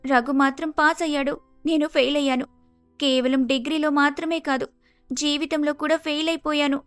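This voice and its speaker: A woman plays a young wife in a family melodrama, plaintive and full of regret. The voice is very high and stays on a narrow band of pitch, in a dry recording. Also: little pitch movement, brisk, no echo, soft background music, lightly acted. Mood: regretful.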